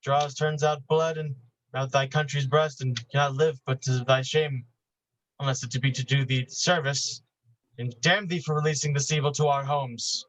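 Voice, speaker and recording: A man's voice: medium pitch, fairly even intonation, normal speed, no echo, lightly acted, rough recording. An actor playing a weary, bitter man defying his hated enemy in grave, declamatory Shakespearean verse. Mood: weary, defiant. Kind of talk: Shakespearean staged reading.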